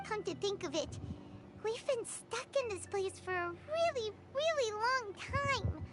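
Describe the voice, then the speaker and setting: A woman voicing a tiny, chatty, excitable fairy-like companion girl in a video game. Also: very high-pitched. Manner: excitable, exclaiming, quick reactions. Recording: game audio with loud music behind.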